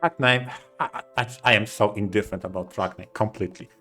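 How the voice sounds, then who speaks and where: A man co-hosting a podcast, giving opinions casually in a halting way, repeating short phrases for emphasis. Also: on the low side, pushed and bright, soft background music. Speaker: podcast co-host.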